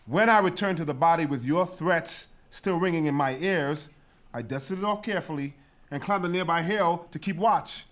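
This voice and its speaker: A high-voiced guard played by a man in a Greek tragedy reading. He reports to his king urgently and emphatically, as a nervous underling. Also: normal speed, a bit bright, natural voice. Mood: urgent recounting. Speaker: actor.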